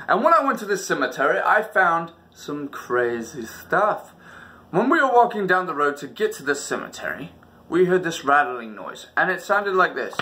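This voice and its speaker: A man's voice: a little high, sing-song, moderate pace, sharp tone. A man hosting a storytime, telling personal tales in a casual, dramatic way and acting out the moments. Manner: dramatic, casual, acts out dialogue and sounds. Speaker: storytelling channel host.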